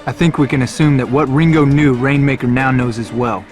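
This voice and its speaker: A man's voice: mid-range voice, fairly even intonation, a little fast, soft background music, natural voice. Man playing an earnest, brooding fighter pilot. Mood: determined. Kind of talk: scripted cutscene narration and dialogue.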